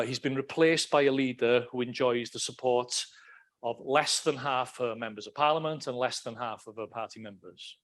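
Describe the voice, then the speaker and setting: A man's voice: mid-range voice, a bit bright. A middle-aged man with a Welsh accent giving a conversational academic lecture, with frequent ums and restarts. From Wales. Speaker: academic speaker. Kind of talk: lecture talk.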